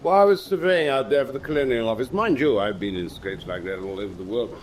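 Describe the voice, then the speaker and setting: Pompous British naval officer, acted by a man, animated. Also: medium pitch, quick pace, a little hiss or hum, lightly acted. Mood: boastful. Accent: British English. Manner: pompous, bragging. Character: boastful, stubborn middle-aged British naval officer, frustrated ashore. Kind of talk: radio drama acting.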